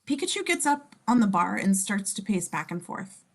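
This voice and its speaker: A woman's voice: medium pitch, sing-song, normal speed. A woman reading screenplay scene descriptions aloud as the narrator of a table read.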